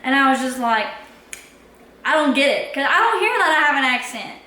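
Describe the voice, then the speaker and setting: A woman's voice: high voice, very animated, fairly quick, pushed and bright. A young woman, telling a personal story in a casual, chatty way and acting out her own past lines. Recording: echoey room with faint background noise.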